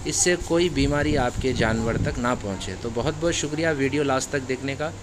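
Farmer-host, friendly explainer, mid pitch, phone audio in a shed with light hum. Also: male voice, brisk. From Hyderabad, India. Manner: friendly instructional talk with religious greetings. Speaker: goat farmer and channel host. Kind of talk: casual how-to vlog narration. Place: indoor goat shed, off camera.

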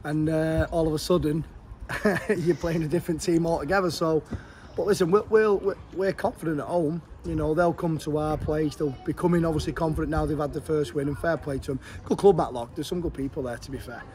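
A middle-aged man, a football manager giving a frank, chatty post-match interview outdoors beside the pitch. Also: steady tone, darkish tone. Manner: frank, chatty, fast-flowing. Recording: outdoor wind and traffic noise.

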